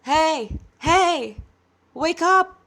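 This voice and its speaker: A woman voicing a gentle, stately male sage in a storybook reading. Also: very high voice, animated, very slow, voice pushed up, exaggerated voice. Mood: rousing. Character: glowing male sage, kindly, serene, mirthful.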